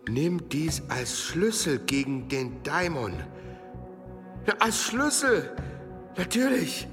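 An actor playing a frightened, pious medieval monk in a radio play, muttering breathless pleas and prayers. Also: male voice, fairly high pitch, big pitch swings, slow pace. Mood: awed, anxious. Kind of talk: German radio play acting. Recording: long stone-hall reverb, music bed.

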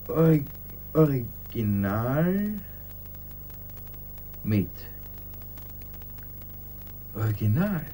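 Painfully slow, plodding clerk. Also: male voice, mid-range voice, warm tone, low-quality recording. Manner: halting, word by word. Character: plodding male clerk laboriously reading out a text. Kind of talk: radio play acting. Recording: clear hum and noise behind.

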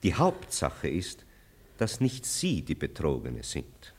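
An actor in a classic German radio play, playing a worldly, cynical friend with a deep voice and a sardonic, reasoning manner. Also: male voice, huge pitch swings, slow, slightly rough, full character voice. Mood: sly, persuasive. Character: worldly, cynical male friend Max, persuasive.

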